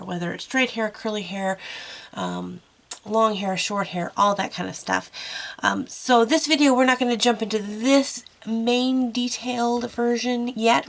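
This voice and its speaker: A woman's voice: mid-range voice, fairly bright tone. A woman hosting an art tutorial, chatty and encouraging with lots of fillers, her nose stuffy from a cold. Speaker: art channel host.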